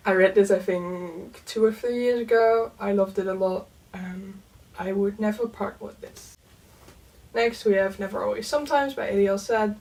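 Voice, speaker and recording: Young Dutch woman chatting, slightly distant mic. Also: mid-range voice. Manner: chatty, lots of "um" and "like", soft laughs. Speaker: book vlogger. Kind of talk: casual chat.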